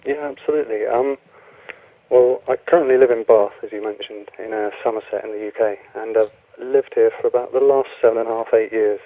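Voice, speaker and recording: A young man talks over a tinny, phone-like line with dry, close sound. His voice is flat and even in pitch, and he speaks in a relaxed, hesitant way, pausing often on ums as he tells his story. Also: dry recording. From Wales. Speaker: guest author. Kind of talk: radio interview.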